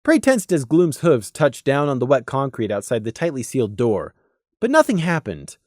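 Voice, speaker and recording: A man's voice: mid-range voice, huge pitch swings, moderate pace, darkish tone, no echo, clean studio sound. A man reading scripted narration of a fantasy story as an audiobook narrator.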